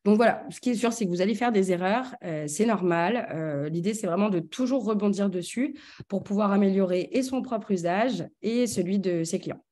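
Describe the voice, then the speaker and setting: A woman's voice: mid-range voice, normal speed, a little mellow. A woman speaking as a workshop presenter, explaining things in an informal way.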